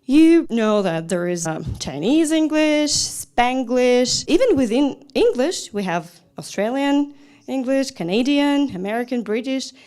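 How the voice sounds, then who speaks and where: A woman who teaches languages, talking straight to her viewers. Her voice sits fairly high and swoops up and down a great deal. She keeps the lesson chatty and lively, often asking questions. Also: a little slow. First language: Russian. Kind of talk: lecture to camera.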